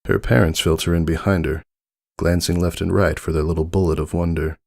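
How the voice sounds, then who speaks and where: A man voicing a wistful, devoted old stitched toy, narrating a dramatic fan fiction story in an earnest, measured way. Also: deep voice, steady tone, vocal fry, darkish tone. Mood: hopeful, tender. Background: music under the voice.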